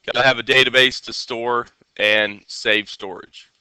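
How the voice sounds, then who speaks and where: A man's voice: medium pitch, fairly even intonation, measured pace, bright, forceful tone, smooth, dry recording, poor audio. A man teaching a live coding class, congested and nasal with a head cold, often checking in with his students.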